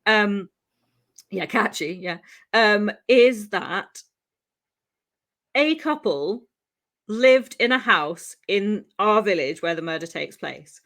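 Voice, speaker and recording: Woman, from Wales, faint Welsh traces, podcast co-host, true crime storytelling, chatty, laughing asides, bright voice. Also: medium pitch, lively intonation, slow pace, long pauses. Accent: English with faint Welsh traces.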